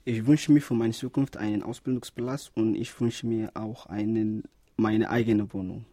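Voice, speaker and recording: Young man from Somalia, studio guest, deliberate phrasing, dark husky voice, dry sound. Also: on the low side, steady tone, dry recording. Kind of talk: careful self-introduction in learned German. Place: radio studio.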